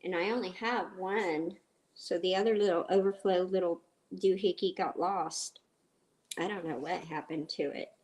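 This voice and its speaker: A middle-aged woman with a raspy voice from a cold, chatting in a rambling, easygoing way as she hosts a cooking livestream and answers viewers. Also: fairly low pitch, steady tone, normal speed, darkish tone, rough recording. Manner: chatty and rambling, reads viewer comments aloud. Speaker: livestream host. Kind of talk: casual cooking livestream chat.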